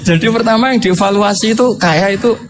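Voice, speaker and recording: A man's voice: sits high, quick pace, noisy background. A man from Indonesia with a Javanese accent preaches informally as a storyteller, conversational and joking, often posing rhetorical questions. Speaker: Islamic preacher. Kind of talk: informal sermon with humorous storytelling.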